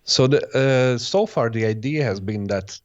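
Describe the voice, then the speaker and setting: Guest: native Bosnian-speaking man, lowish voice, halting and reflective, on a dull lo-fi recording. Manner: hesitant, restarts phrases, many "sort of" hedges. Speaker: guest, electronic music producer. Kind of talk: casual interview answers.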